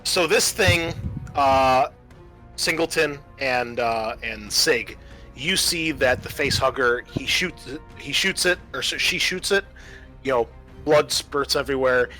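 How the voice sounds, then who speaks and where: Male game master, mid-pitched and unhurried, deliberate tabletop narration with a sharp, forward tone. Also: soft background music, low-quality recording.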